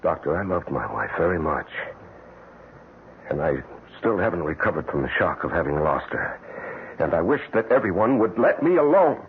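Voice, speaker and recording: An actor playing a brooding, evasive widower who turns smooth suitor, with halting speech, in an old-time radio drama. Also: male voice, sits low, big pitch swings, a little hiss or hum. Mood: weary, defensive. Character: brooding widower gentleman, evasive, smoothly courting his housekeeper. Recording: tinny old radio broadcast with music.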